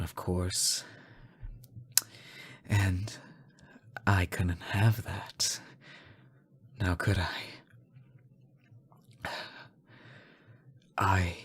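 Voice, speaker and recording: A man playing an obsessive, lovesick fallen angel in a dramatic audio roleplay, theatrical and halting, bitter and unhinged. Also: deep voice, breathy edge, exaggerated voice. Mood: cold, menacing. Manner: theatrical, halting, sighing, swinging from hushed to frantic. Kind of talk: dramatic audio roleplay monologue addressed to a lover.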